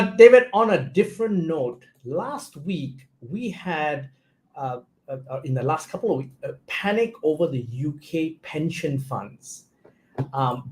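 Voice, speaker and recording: A man hosting a live business news interview, asking brisk questions with some fillers. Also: on the high side, a little mellow. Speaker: news show host.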